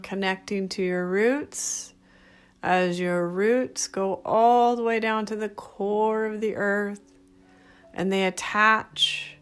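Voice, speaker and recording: A woman leading a guided meditation in a gentle, soothing, unhurried manner, speaking in short phrases. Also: mid-range voice, smooth. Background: background music.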